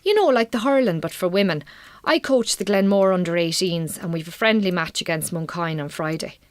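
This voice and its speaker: An actress playing a weary, wry Irish farm wife in a radio drama, blunt but warming, with an Irish accent and colloquial turns of speech. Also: female voice, on the low side, fairly quick, lightly acted. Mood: animated, friendly.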